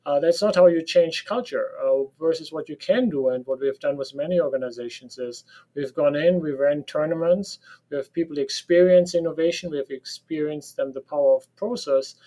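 A man's voice: on the high side, brisk, dark tone, low-quality recording. A man with a German accent, a professor answering interview questions in a direct, opinionated way and laughing often. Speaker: professor of operations management. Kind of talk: podcast interview guest.

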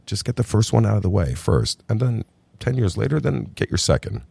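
Man, middle-aged, from new jersey, very deep voice, relaxed storytelling, dry close recording. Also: fairly quick, creaky, slightly rough, no echo. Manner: relaxed, chatty, calls the host "brother". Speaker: restaurant group founder, podcast guest. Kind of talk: casual interview storytelling.

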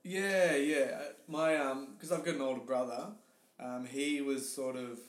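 A man with an Australian accent, a guest telling his story in casual interview chat, relaxed and hesitant with many fillers. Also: mid-range voice, a little slow. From Tasmania, Australia. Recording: roomy echo.

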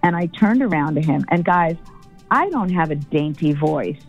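A woman recounts a story in an interview, her voice loud and blunt. She sits a little low in pitch. The sound is slightly dull, with faint music underneath. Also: lively intonation, normal speed. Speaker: former football team executive. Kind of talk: documentary interview.

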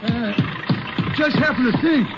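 Very high-voiced, folksy gin boss. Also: male voice, a little slow, loud music behind the voice. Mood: obliging, folksy. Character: obliging, chatty small-town cotton gin boss. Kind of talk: 1950s radio western acting. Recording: muffled, noisy old radio broadcast.

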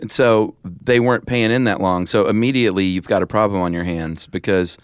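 A man's voice: lowish voice, steady tone, a little fast, clear, resonant tone, no room sound, clean studio sound. An American man co-hosting a podcast, explaining things in a laid-back, colloquial, chatty way. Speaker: podcast co-host. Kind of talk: casual chat explainer.

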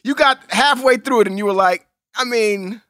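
A man's voice: extremely high pitch, brisk, bright, forceful tone, no room sound, clean studio sound. A man co-hosting a podcast, joking and bantering in casual chat. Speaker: podcast co-host.

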